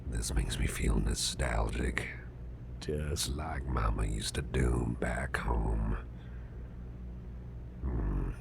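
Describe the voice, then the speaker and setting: An extremely low, crackling growl of a big businessman boss acted by a man in an ASMR roleplay. He murmurs in a breathy half-whisper, slowly and haltingly, gentle but fretful. There is clear noise behind the voice. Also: full character voice. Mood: relaxed, tender. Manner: halting, murmured, soothing, with repeated phrases.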